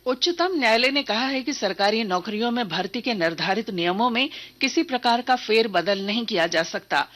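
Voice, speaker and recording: A woman reading a scripted radio news bulletin in a formal, measured manner. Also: a little high, animated, fairly bright tone. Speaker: news reader.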